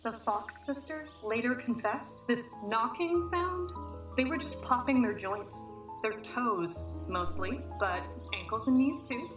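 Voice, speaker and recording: A woman's voice: on the high side, expressive, slow pace, far from the mic. An actress playing a woman who explains a strange tale in an explanatory, storytelling way in an audio drama. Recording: roomy playback over clear music.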